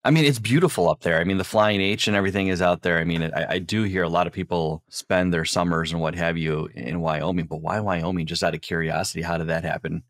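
A man's voice: sits low, steady tone, quick pace, hardly any pauses, dry recording, studio quality. A man hosting a casual podcast interview, chatty and rambling, often restarting his sentences. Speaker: podcast host.

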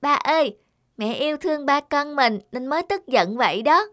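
A sensitive little girl in a cartoon. The voice is very high and innocent, with music clearly audible behind. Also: expressive, normal speed, fairly bright tone. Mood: innocent, puzzled. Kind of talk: cartoon dialogue.

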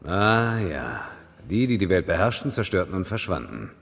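A man playing a composed, dutiful gentleman assassin in a video game, speaking in a measured, courteous and dry way. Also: low-pitched, big pitch swings, vocal fry, faint music behind, exaggerated voice. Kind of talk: dubbed video game cutscene dialogue.

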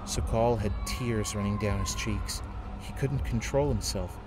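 A man's voice: on the low side, a little slow. A man giving a solemn, dramatic scripted narration of a story. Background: dark atmospheric game music.

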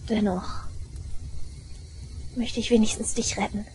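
A woman playing an obsessive, unhinged young shrine maiden in an audio drama, intense and deliberate, moving between hushed and frenzied delivery. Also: medium pitch, steady tone, a little hiss or hum, close to an everyday voice. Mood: hushed, resolute. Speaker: voice actress.

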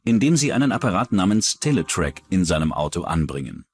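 A man's voice: deep voice, very animated, a little fast. A man reading scripted true-crime documentary narration as the narrator.

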